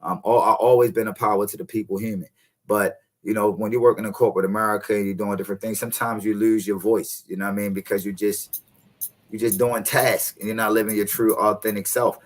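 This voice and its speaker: A man in his thirties, a guest coach, speaking in a relaxed, reflective way and often adding "you know what I mean". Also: on the low side, fast talker. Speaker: podcast guest, encouragement coach. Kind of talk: reflective casual conversation.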